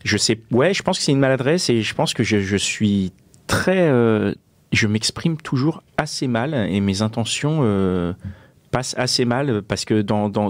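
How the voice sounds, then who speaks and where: A man co-hosting a casual talk, speaking reflectively and haltingly as he searches for his words. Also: on the low side, no echo. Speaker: podcast co-host.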